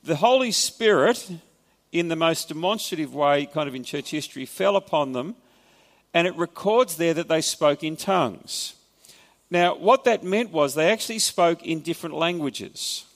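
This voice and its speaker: Man, Australian accent, sermon, conversational and wry, slightly raised pitch, long reverb. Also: expressive, normal speed. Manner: conversational, explanatory, wry asides. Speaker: pastor. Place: church hall.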